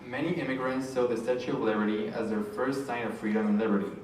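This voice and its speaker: A man's voice: medium pitch, fairly even intonation, fast talker, a little mellow, slight background noise. Man, scripted presentation, very echoey room, far from the mic. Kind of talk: scripted presentation read aloud.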